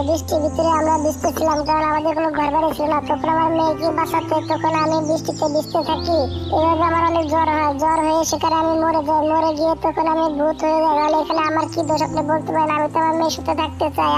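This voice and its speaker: A woman's voice: high-pitched, monotone, very fast, over music, lightly acted. A woman voicing a grieving mother bird turned ghost in a children's cartoon, pleading earnestly. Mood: pleading, indignant. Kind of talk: children's cartoon story voice acting.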